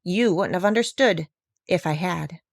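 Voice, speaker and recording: A woman voicing a proud, bossy female parrot in a high voice, speaking emphatically as if teaching. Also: measured pace, vocal fry, no echo, studio quality. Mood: matter-of-fact. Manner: emphatic, instructive.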